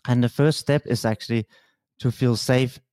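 Male interview host, mid-pitched, reflective anecdote teller, dry close mic. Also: fairly even intonation, normal speed, a little mellow, clean tone, no room sound. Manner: reflective, tells personal anecdotes with quoted dialogue. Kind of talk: conversational interview.

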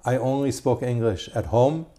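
A middle-aged man with an Israeli accent, a guest and tour guide, talking casually in a measured, slightly halting way. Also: medium pitch, dark tone. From United States. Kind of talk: casual interview conversation.

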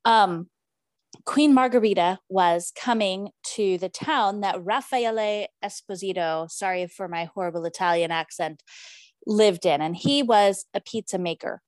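A woman's voice: medium pitch, measured pace, no room sound. A woman co-hosting a casual podcast, talking in a chatty way with many fillers and restarts. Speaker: podcast co-host. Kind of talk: casual chat.

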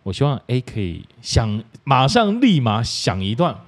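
A man's voice: mid-range voice, very animated, unhurried. A man with a Taiwanese Mandarin accent hosting a podcast in a casual, chatty way. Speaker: podcast host. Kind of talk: casual chat.

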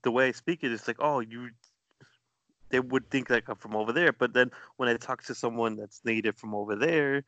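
A man's voice: medium pitch, a little fast, a bit bright, dry recording, highs cut off. A man from the southern United States, co-hosting a podcast in casual chat, rambling as he searches for words. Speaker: podcast co-host.

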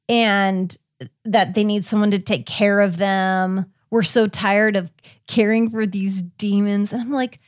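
A woman hosting a podcast in casual chat, recounting a case informally with a halting, filler-heavy delivery. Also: medium pitch, no room sound, studio quality. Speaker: podcast host.

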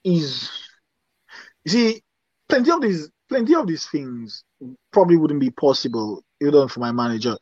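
A man's voice: on the high side, sing-song, normal speed, darkish tone, dry recording, dull audio. A man from Dominica with a Dominican accent, a singer talking casually in an interview and often checking "you understand?". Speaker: singer guest.

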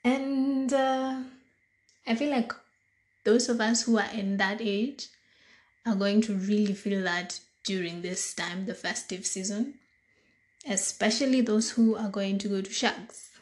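A woman in her thirties with a Kenyan accent hosts her own talk show alone. Her voice is a bit hoarse this morning, and she talks in a slow, relaxed way, filling her pauses with ums and uhs. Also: medium pitch. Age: 30s. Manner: slow, deliberate, chatty with frequent fillers. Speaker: podcast host. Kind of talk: casual solo monologue.

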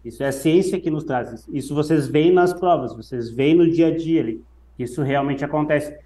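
Explaining man with a mellow voice. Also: a little high, normal speed. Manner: explanatory, repeats himself for emphasis. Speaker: running coach, podcast co-host. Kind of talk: casual podcast discussion.

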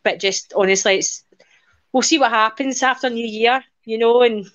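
A woman's voice: on the high side, normal speed, a bit bright. Outspoken woman on a lo-fi call. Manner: outspoken, defiant and chatty. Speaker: podcast guest. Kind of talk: casual chat.

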